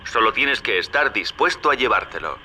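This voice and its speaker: A man's voice: mid-range voice, very animated, normal speed, sharp tone, a little hiss or hum. A man with a Castilian Spanish accent playing a wily, folksy hustler in a video game, talking in a chummy, colloquial, paternal way. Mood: persuasive, chummy. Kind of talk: video game character acting.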